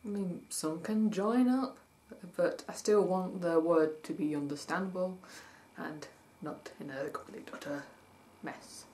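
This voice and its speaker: A woman vlogging with a husky voice that has not warmed up yet, chatting in a rambling way, reading points from a list and spelling words out letter by letter. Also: fairly low pitch, a little slow. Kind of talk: casual vlog, reading points from a list and commenting. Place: at home by day, with traffic outside. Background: faint traffic.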